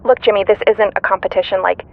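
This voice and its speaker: A woman's voice: medium pitch, fairly even intonation, quick pace, gravelly. A woman playing a slighted, defensive radio co-host, hesitant and mildly indignant, calling in over a tinny phone line.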